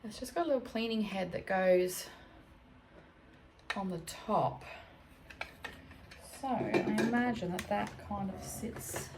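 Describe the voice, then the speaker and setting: A woman with an Australian accent, croaky from allergies, chatting casually as she works through a DIY cleaning job at home. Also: mid-range voice, takes it slowly, pauses often, background noise. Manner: thinking aloud, chatty, self-correcting. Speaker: homestead vlogger. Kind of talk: casual DIY vlog chat. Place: home, indoors.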